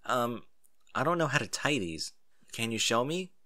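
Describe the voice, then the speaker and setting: A man's voice: medium pitch, big pitch swings, moderate pace, sharp tone. A man voicing a naive, curious young male newcomer who wonders aloud informally and gets easily flustered, in a story. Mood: timid.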